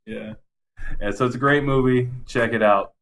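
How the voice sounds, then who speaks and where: A man's voice: mid-range voice, fairly even intonation, moderate pace, a bit bright. A man co-hosting a podcast, casually and enthusiastically rambling through his favorite game movies with some swearing. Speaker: podcast co-host.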